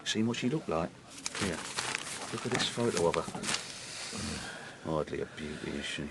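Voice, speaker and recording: A man's voice: low voice, animated, a little slow, creaky, heavy background noise, heavily acted. A man acting in a radio play as a gossipy, opinionated café waiter with a chatty, knowing manner.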